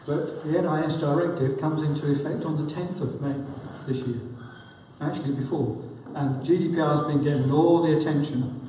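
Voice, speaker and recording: A man giving a conference presentation, his voice croaky from a cold, speaking informally with fillers. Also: fairly high pitch, steady tone, a little fast, warm tone, slight background noise. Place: large conference hall. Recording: echoey hall, distant mic.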